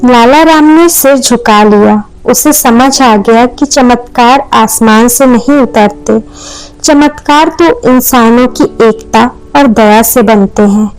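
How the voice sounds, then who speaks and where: A woman's voice: on the high side, slow, faint music behind, poor audio. A woman narrating a scripted moral story as a storyteller.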